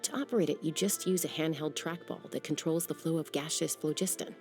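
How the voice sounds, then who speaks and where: A woman's voice: sits low, brisk, a little mellow, soft background music. An actress playing a young female warrior who speaks in a level-headed, analytical way. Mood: explaining. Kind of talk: video game cutscene dialogue.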